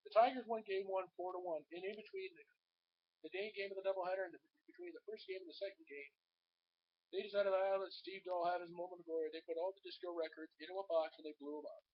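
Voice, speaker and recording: Hoarse male sports vlogger, high pitched, rambling, muffled webcam sound. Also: steady tone, fast talker. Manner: chatty, rambling, addresses viewers as folks. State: hoarse with a cold. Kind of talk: casual sports commentary to camera. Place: home.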